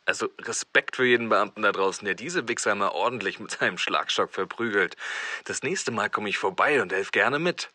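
Audio drama: hateful troll, contemptuous and aggressive, lowish voice with very forceful edge. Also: male voice, a little fast, dry recording. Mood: angry, contemptuous. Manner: contemptuous, menacing. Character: hateful, aggressive male internet troll backing police.

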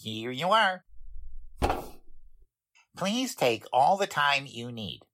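A man's voice: mid-range voice, very animated, slow pace, bright, forceful tone, heavily acted. A man playing a chatty, deferential bank attendant in a folksy, plain-spoken 1940s radio drama style.

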